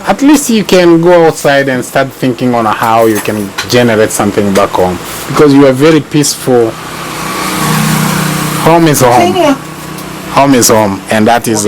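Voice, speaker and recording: A man from Texas talks through his travel vlog in a loose, rambling way, restarting sentences and repeating words. His voice sits at a middle pitch. Street noise and passing vehicles are clearly heard around him. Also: lively intonation, moderate pace. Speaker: travel vlogger. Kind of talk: casual travel vlog chat.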